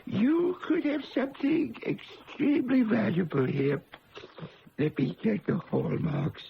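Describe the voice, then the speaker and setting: A fussy, unctuous antique dealer in a radio play. His highish, creaky voice swoops up and down in slow, singsong courtesy. The recording is muffled, with music behind. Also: huge pitch swings, slight background noise, full character voice. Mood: fussy, flustered. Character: fussy, obsequious male silver shop dealer. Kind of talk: 1970s radio drama acting.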